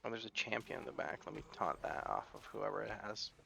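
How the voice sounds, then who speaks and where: A man's voice: on the low side, lively intonation, a little fast, vocal fry. A man with an American accent giving chatty, rambling gameplay commentary and often correcting himself. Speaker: gaming YouTuber.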